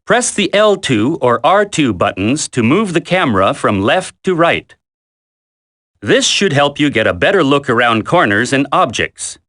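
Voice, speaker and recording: An actor playing a military instructor in a video game tutorial, coaching a recruit in a firm, deliberate, commanding way. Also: male voice, medium pitch, very animated, a bit bright. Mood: instructive, firm.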